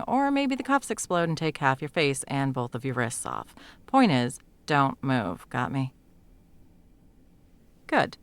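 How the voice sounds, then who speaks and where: A woman's voice: extremely low pitch, gravelly, no echo, heavily acted. A woman playing a brash, sweary, bossy sound mage with a teasing fondness, in an intimate audio roleplay. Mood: urgent, bossy. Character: brash, foul-mouthed, teasing female sound mage, secretly smitten. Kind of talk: intimate second-person audio roleplay acting.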